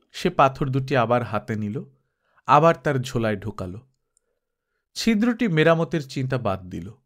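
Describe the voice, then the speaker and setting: A man reading a novel aloud as an audiobook narrator, with measured, expressive storytelling. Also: medium pitch.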